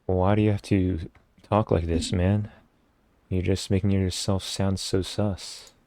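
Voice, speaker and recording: A man's voice: low voice, flat delivery, unhurried, mellow. A man live streaming a video game, giving casual, slangy, joking commentary.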